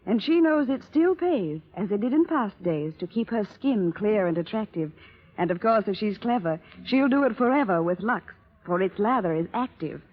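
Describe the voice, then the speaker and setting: A woman's voice: mid-range voice, expressive, moderate pace. A woman reciting rhymed advertising verse rhythmically in 1930s radio style. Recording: muffled vintage radio recording.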